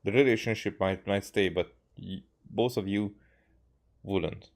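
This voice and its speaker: Low-voiced man, halting game commentary. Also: gravelly. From Hungary. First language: Hungarian. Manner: halting, stammering, earnest. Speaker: game streamer. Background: game music.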